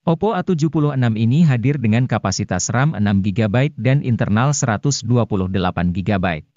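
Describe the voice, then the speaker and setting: A man's voice: mid-range voice, expressive, brisk, darkish tone, no room sound, muffled recording. A man with an Indonesian accent reading a scripted gadget review in a formal, informative way, as a voice-over close to the microphone. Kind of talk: scripted narration for a product review.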